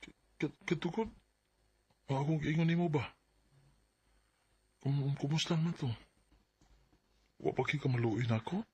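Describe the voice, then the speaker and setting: A man's voice: on the high side, unhurried, long pauses, breathy edge, no room sound. A Filipino man with a Bisaya accent playing an earnest, insecure young suitor in a radio drama, speaking hesitantly and pleadingly.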